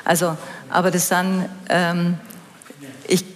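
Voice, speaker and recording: A woman's voice: fairly low pitch, flat delivery, slow pace. A woman answering questions on a public panel, hesitant, with many restarts and fillers. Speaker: ski resort representative on a panel. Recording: hall reverb.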